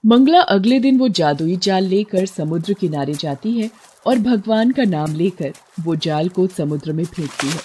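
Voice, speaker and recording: Husky-voiced woman storyteller. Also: fairly low pitch, lively intonation, moderate pace, hardly any pauses, warm tone. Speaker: narrator. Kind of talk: scripted storytelling narration.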